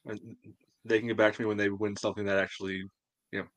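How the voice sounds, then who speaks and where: A man's voice: fairly low pitch, little pitch movement, fast talker, a bit bright, no echo. An American man co-hosting a casual sports podcast, talking in a dry, blunt way. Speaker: podcast co-host.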